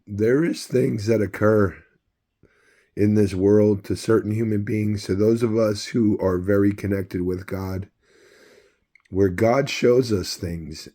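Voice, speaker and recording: A man who is losing his voice, telling a long personal story in a rambling, halting way with many fillers. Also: lowish voice, warm tone. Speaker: video host. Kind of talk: casual personal storytelling to camera.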